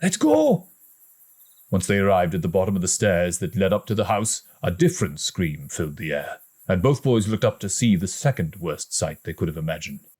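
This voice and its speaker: A man reading a story aloud as an audiobook narrator, in a dramatic, expressive storytelling manner. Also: low voice, fairly quick, few pauses. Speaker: audiobook reader.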